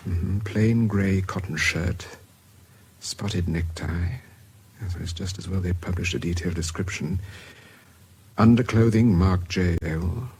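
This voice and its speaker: A polite, furtive British gentleman of middle age in a radio play. His voice is low and husky, with an educated, hesitant way of speaking. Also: heavily acted. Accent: educated British English. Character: mild, secretive grey-haired gentleman schemer. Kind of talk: radio drama acting.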